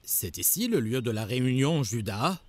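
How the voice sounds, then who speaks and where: An actor playing a resentful, bitter rabbi conspirator in a cartoon. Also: male voice, medium pitch, very animated, normal speed. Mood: conspiratorial. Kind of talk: animated cartoon acting.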